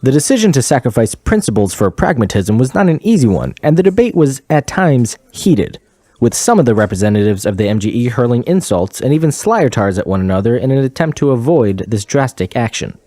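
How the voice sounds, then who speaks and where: A man playing a solemn TV news anchor with formal, measured delivery in a parody newscast. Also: mid-range voice, very animated, warm tone, no echo.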